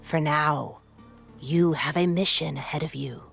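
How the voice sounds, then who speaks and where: An actor, a woman, playing a solemn clan elder and lorekeeper in a fantasy game, speaking in grave, measured storytelling. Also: low voice, vocal fry, fairly bright tone, faint music behind, exaggerated voice. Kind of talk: video game cutscene acting.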